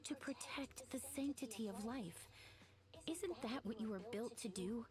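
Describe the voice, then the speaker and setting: A woman's voice: a little high, measured pace, close to an everyday voice. A woman playing an earnest, noble superhero in a video game, speaking with solemn appeal. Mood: earnest concern.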